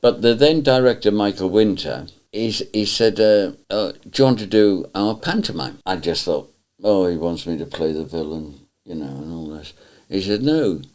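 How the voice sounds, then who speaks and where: Older male panto dame, low voice, lively and protesting as he tells stories. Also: normal speed. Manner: animated storytelling, mock-indignant protests. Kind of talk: reminiscing interview.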